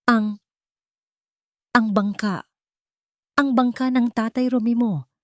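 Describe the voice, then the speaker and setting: A woman voicing a worried, frightened older man in an audiobook story, speaking in halting, urgent, repeated phrases. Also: very high-pitched, steady tone, a bit bright, no echo, studio quality, voice pushed up, exaggerated voice. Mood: fearful, halting. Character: worried, frightened old fisherman family friend, breathless.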